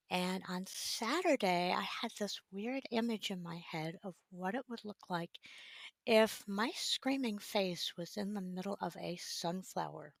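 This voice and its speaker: Raspy-voiced woman streamer. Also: mid-range voice, no room sound. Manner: relaxed, halting with many ums. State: raspy, recovering voice. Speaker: art livestream host. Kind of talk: casual livestream chat. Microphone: desk microphone.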